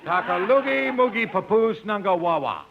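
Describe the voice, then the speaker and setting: A man's voice: sits high, expressive, moderate pace, gravelly, music in the background. A man playing a mighty hunter chief in a 1950s radio comedy, jabbering in comic nonsense syllables. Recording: muffled noisy old radio recording.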